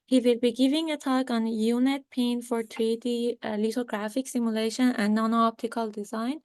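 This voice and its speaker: A woman's voice: on the high side, flat delivery, moderate pace, darkish tone, clean tone, no echo. A young woman hosting a video seminar, answering questions and introducing speakers with many fillers. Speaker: seminar moderator. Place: home room. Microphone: video call.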